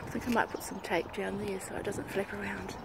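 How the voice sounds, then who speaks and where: Kiwi woman doing a casual vlog outside, unhurried, gravelly edge, cars going by in the background. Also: mid-range voice, fairly even intonation. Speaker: vlogger. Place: outdoors by a suburban road beside a car. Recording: outdoor roadside noise, passing vehicles.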